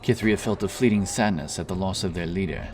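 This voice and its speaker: A man's voice: deep voice, brisk. A man narrating a fantasy tale in a solemn, dramatic, scripted storytelling style. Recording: music under the narration.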